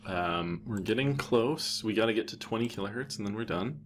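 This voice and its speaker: A man presenting a casual, unscripted software tutorial in an offhand way, thinking aloud as he goes. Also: lowish voice, lively intonation, normal speed, a little hiss or hum.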